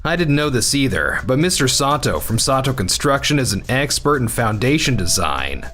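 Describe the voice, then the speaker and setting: A man's voice: medium pitch, very animated, moderate pace, music in the background, lightly acted. A man voicing a worried, earnest construction manager who explains a problem in a manga dub. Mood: explaining. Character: worried middle-aged male construction manager.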